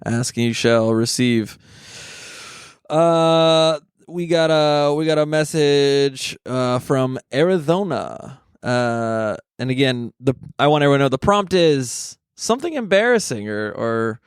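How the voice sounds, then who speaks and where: A man with a naturally high voice, chatting and joking crudely as a podcast co-host in casual comedic banter. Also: expressive, unhurried, clean tone, dry recording, clean studio sound.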